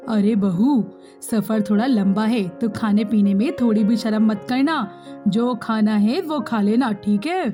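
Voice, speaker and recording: A woman voicing a chatty, homely middle-aged mother-in-law in an animated moral story. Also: a little high, measured pace, faint music behind, natural voice. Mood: kindly.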